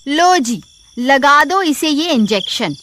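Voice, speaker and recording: Spiteful village wife, acted by a woman: fairly high voice, exclaiming, cartoon story delivery. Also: lively intonation, slow pace, background noise.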